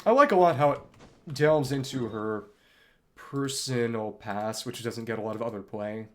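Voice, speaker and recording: A man's voice: medium pitch, normal speed. A man chatting casually on a gaming livestream, wordy and thoughtful, often hesitating as he searches for words. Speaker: game streamer. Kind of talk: casual rambling livestream chat while gaming. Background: game music.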